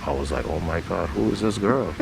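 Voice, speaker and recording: Male guest, very low gravelly voice, casual interview talk over clear background noise. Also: fairly quick. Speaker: stage play director being interviewed. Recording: steady background hiss and rumble.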